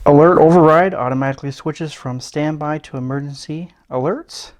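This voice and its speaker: A middle-aged American man giving a casual, unscripted product walkthrough in a low-key, hesitant way. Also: moderate pace. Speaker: gadget reviewer. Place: small indoor room at a tabletop. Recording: clear background noise with clicks.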